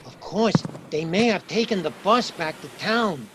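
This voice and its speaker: A noisy radio drama recording of a man playing a fretful homeowner. His pitch jumps around a lot as he speaks in an anxious, fussy, questioning way. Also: lively intonation, moderate pace. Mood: doubtful. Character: fretful, easily rattled male owner of haunted house.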